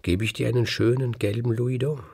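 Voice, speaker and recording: A man voicing a cunning, demanding rooster in a folk tale, with insistent, drawn-out calls. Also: medium pitch, fairly even intonation, vocal fry, dark tone, husky, pitched above the performer's own voice. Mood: coaxing.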